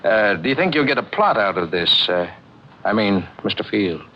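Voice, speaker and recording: A polite, quietly probing detective sergeant, played by a man with a low voice and a hesitant, folksy way of questioning. It is vintage radio drama, dull in sound with faint noise. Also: animated, normal speed, close to an everyday voice. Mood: politely probing. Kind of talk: 1940s radio acting. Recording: muffled old radio recording, faint noise.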